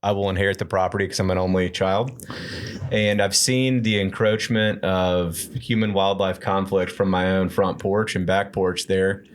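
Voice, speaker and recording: A man from Memphis, answering interview questions in a measured, businesslike way. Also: deep voice, level tone, slight background noise. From Memphis, Tennessee. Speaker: guest, company founder.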